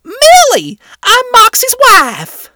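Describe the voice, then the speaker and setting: Very high, bubbly imp gal. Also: wildly swooping pitch, slow, no room sound, clean studio sound, full character voice. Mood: bubbly, eager. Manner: bright, eager, folksy. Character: bubbly, friendly female imp wife, tough and strong.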